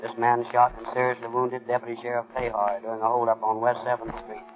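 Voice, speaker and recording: An actor playing an official, clipped police radio dispatcher reading bulletins in a 1930s radio drama. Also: male voice, mid-range voice, monotone, fast, music in the background, close to an everyday voice. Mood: official, flat. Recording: tinny vintage 1930s radio recording.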